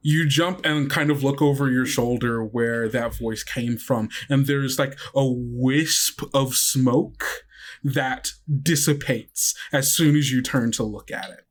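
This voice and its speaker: A man narrating a tabletop roleplaying game as game master, improvising descriptions in a halting, conversational way. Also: mid-range voice, fairly even intonation.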